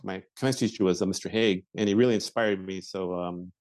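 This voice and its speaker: A man in his forties, a patent examiner, answering interview questions in a measured way with frequent fillers and restarts. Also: sits low, steady tone, darkish tone, no echo.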